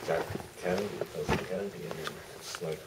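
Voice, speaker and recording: Male panel guest with a very low voice, halting anecdotal delivery, distant pickup with faint noise. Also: steady tone. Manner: halting, deliberate, frequent pauses mid-sentence. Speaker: film director, panel guest. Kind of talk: anecdotal Q&A answer. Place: theater stage at a public Q&A.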